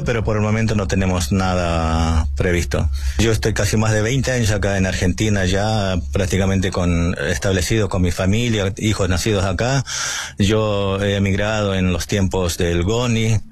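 A middle-aged man from La Paz whose first language is Aymara, answering interview questions earnestly and haltingly with many fillers. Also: sits low, dry recording. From Bolivia, La Paz. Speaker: interviewee, Bolivian migrant. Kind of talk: phone-style radio interview answers.

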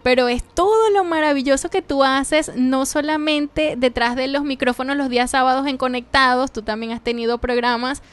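A woman's voice: sits high, steady tone, normal speed, hardly any pauses, clean tone. A Venezuelan woman hosting a live radio show, interviewing a guest in an enthusiastic way. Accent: Venezuelan Spanish. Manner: warm, enthusiastic, laughing interviewer.

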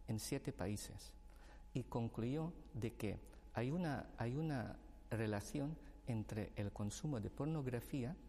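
A man from the Philippines speaks as a guest on a discussion panel, explaining his points in a measured way and backing them with studies. His voice is mellow and darkish, with a long reverb trailing behind it. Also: medium pitch. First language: Filipino language.

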